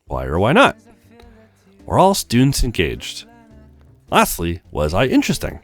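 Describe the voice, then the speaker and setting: A studious, helpful advisor from a visual novel, read out by a man. The delivery is very animated in pitch, with faint music behind it. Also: measured pace, full character voice. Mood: instructive. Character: helpful, studious advisor, easily flustered, giving teaching pointers.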